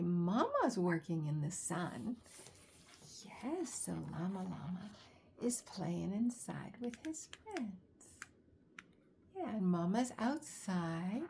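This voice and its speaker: A woman reading a children's picture book aloud, expressively, with drawn-out words and chatty asides and questions to young listeners. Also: medium pitch, creaky, warm tone, slight background noise.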